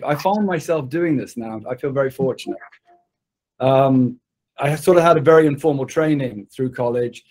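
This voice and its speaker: A man in his sixties with a British accent talks as a guest in an online interview. His voice sits at a middle pitch, and he tells his story conversationally from a desk in an office. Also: a little mellow. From born in England, grew up in Zimbabwe. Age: 60s. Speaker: interview guest, production designer. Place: indoor studio office on a video call. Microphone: computer mic at desk distance on a video call.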